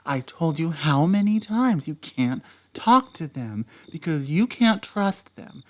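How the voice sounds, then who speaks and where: A woman voicing an obsessive, possessive ex-partner in an audio roleplay, speaking softly and dropping into whispers before flaring up. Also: deep voice, sing-song, slow pace, exaggerated voice. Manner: soft-spoken, drops into whispers, flares up. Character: obsessive possessive ex-partner, just released, menacing.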